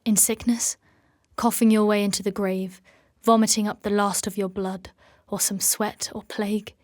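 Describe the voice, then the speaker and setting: An actress plays a guarded warrior woman from a dark fantasy audio drama. She is solemn and formal, weighing each phrase with measured gravity. Her voice sits at a middle female pitch. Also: little pitch movement, no echo, clean studio sound, close to an everyday voice. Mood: grim, reflective. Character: grave, guarded warrior woman, a knightly mentor figure.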